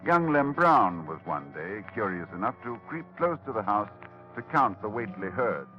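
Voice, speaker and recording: A man narrating a horror radio drama in a grave, measured storytelling manner. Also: fairly low pitch, big pitch swings, faint music behind. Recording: dull old radio broadcast.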